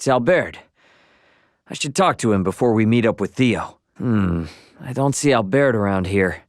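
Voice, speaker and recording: Casting: male actor as young game hero, brash, offhand and slangy, animated intonation, mid-range pitch, close dry sound. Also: moderate pace, dry recording, close to an everyday voice. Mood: curious, wary. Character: brash, casual young male adventurer, impatient but good-hearted. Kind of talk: video game character voice lines.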